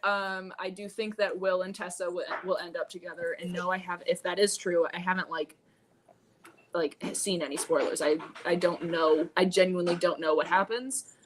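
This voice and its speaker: A woman with a somewhat low voice talks informally as a guest on a live book discussion. She is hesitant and exclamatory as she works out her thoughts. There is clear room noise behind her on what sounds like a video call. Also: fairly even intonation, normal speed. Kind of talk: casual chat. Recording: clearly noisy room ambience.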